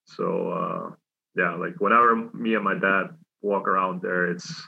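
Young pro-athlete interviewee from Switzerland: very low, gravelly voice, halting delivery full of fillers, dull lo-fi recording. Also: male voice, steady tone. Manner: halting, many fillers and restarts. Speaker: hockey player. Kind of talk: casual interview answers. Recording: dull lo-fi sound.

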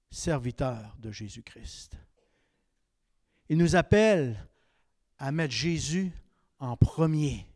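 A man in his fifties with a Québécois French accent, preaching a sermon that swings between raised exhortation and quiet, confiding asides. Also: medium pitch, dry recording, clean studio sound. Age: 50s.

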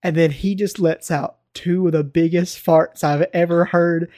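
An American man chatting casually and telling stories in a rambling, animated way. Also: fairly high pitch, unhurried, no room sound, clean studio sound. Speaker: podcast co-host. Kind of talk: casual chat, storytelling.

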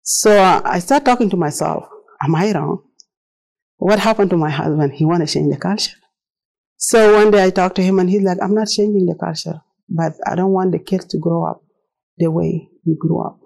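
A woman's voice: on the low side, moderate pace, creaky, a little mellow, rough recording. A middle-aged woman from South Sudan, a native Arabic and Dinka speaker with a South Sudanese accent, gives a personal presentation in earnest, plainly worded non-native English. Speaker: speaker telling her own story.

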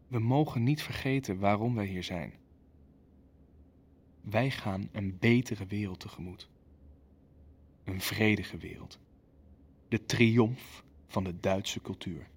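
Ruthless, insistent Nazi official. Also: male voice, fairly low pitch, slow, long pauses, slightly rough, heavily acted. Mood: solemn, rallying. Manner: insistent, dismissive. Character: impatient, ruthless male Nazi official at a wartime meeting. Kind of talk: Dutch radio play acting.

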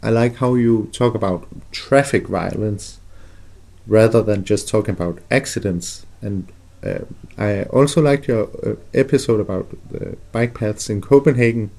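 A man's voice: fairly low pitch, normal speed, gravelly, mellow. A man from Denmark, leaving a friendly, earnest voicemail as a listener. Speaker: listener calling in.

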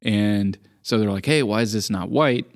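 A man co-hosting a podcast in casual chat, a bit raspy from a bug, explaining things at length with everyday comparisons. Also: lowish voice, normal speed. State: sick with a cough. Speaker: podcast co-host.

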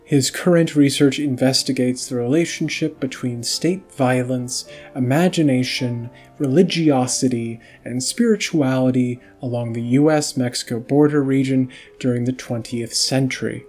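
A man's voice: medium pitch, normal speed, few pauses, a little mellow, soft background music. A young adult man hosting a podcast, chatting casually with many fillers. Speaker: podcast host.

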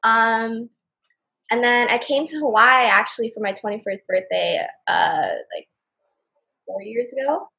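Chatty young woman, a bit husky. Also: on the high side, measured pace, pushed and bright. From Canada. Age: 20s. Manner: chatty, upbeat, frequent "like" and "um". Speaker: guest. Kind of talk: casual interview chat.

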